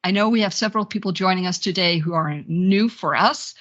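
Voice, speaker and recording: Female webinar host with a lower-pitched voice, semi-scripted introductions, dull video-call sound. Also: a little fast, fairly bright tone.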